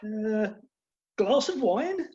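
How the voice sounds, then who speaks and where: A woman's voice: on the high side, sing-song, extremely slow, warm tone, dull audio, a little hiss or hum, exaggerated voice. A woman playing a determined, drily sympathetic schemer in a comic Victorian farce, with dry understatement. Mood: drily polite. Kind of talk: comic stage farce acting.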